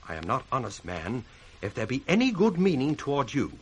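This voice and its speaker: Sly British schemer, wildly swooping delivery. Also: male voice, mid-range voice, wildly swooping pitch, brisk. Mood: feigned concern. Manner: theatrical, sardonic, crisply declaimed. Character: scheming, mocking bastard son, sly and ambitious villain. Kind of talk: 1960s radio Shakespeare acting. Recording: faintly hissy 1960s radio recording.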